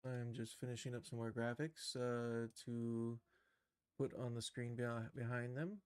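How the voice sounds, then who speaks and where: A man's voice: mid-range voice, flat delivery, a little slow, clear, resonant tone, no room sound. A man casually narrating his own steps in an on-screen tutorial, thinking aloud in short muttered remarks. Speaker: game developer streaming a tutorial.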